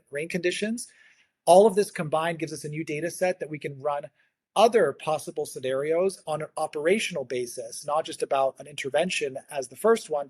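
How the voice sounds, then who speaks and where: A man, a native English speaker, giving earnest explanatory interview answers as a guest, sometimes halting and repeating words. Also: a little high, darkish tone, no echo. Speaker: guest, agritech consultancy founder.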